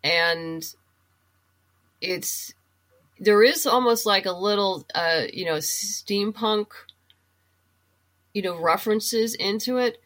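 A woman's voice: mid-range voice, slow, pauses often, a bit bright. A woman speaking as an interview guest, explaining things conversationally and thinking aloud in a casual chat about books. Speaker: guest, comic book writer and novelist.

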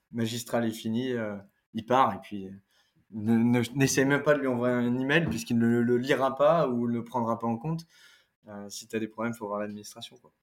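A young man from France talking casually about studying abroad, halting with frequent fillers. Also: lowish voice. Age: young adult. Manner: halting, frequent "euh" fillers, occasional laughs. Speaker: former exchange student. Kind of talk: casual podcast conversation.

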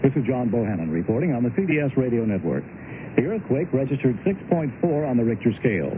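A man's voice: mid-range voice, big pitch swings, fast talker. An American man anchoring a scripted radio news bulletin. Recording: narrowband radio broadcast with faint noise.

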